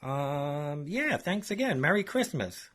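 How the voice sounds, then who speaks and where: A man's voice: fairly high pitch, big pitch swings, slow, a bit bright, pitched above the performer's own voice, exaggerated voice. A man voicing a shifty, hot-tempered man who swears and gets defensive when caught lying. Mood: evasive. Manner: defensive, foul-mouthed.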